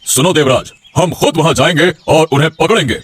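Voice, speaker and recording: A man's voice: on the high side, a little fast, close to an everyday voice. A man voicing a stern, righteous king in a cartoon, speaking with regal authority. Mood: concerned, stern. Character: stern, righteous Indian king holding court. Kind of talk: Hindi cartoon voice acting.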